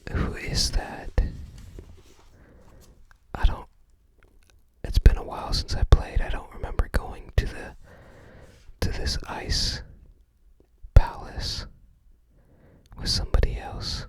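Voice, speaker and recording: An ASMR creator speaks entirely in a soft whisper. The pace is very slow, with thoughts arriving in short, tentative fragments. The delivery is casual and rambling, like informal browsing commentary. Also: poor audio.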